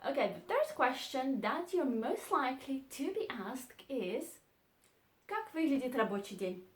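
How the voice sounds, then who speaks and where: A woman teaching a beginner language lesson, repeating short phrases slowly and clearly. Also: high-pitched, very animated, reverberant. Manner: slow, clear model phrases, repeated twice. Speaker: Russian teacher.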